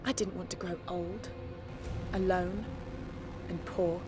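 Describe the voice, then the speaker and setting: An actress playing a poised, calculating female criminal mastermind in a cinematic video game, speaking in a composed, measured way. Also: fairly low pitch, fairly even intonation, music in the background. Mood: confessional, resigned.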